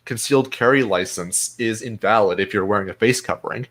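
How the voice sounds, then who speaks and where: A man hosting a casual political podcast, talking in a blunt, defiant and deliberate way. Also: lowish voice, a bit bright. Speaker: host.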